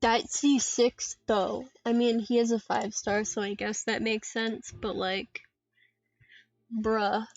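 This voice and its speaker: A woman giving casual solo commentary on a game stream in a playful, dryly self-deprecating, halting way. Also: a little high, level tone. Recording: dull lo-fi mic with faint noise and clicks.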